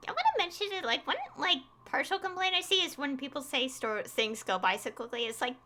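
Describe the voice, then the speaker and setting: A woman's voice: high voice, sharp tone. A woman game streamer chatting casually in a rambling, informal way into a headset. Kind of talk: casual livestream chat. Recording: faint background noise.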